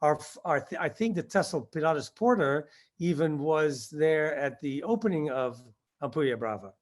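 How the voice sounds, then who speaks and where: A man in his sixties, born in Indonesia, answering interview questions about his past and recalling it haltingly with many fillers. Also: a little high, lively intonation. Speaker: guest, skydiving instructor.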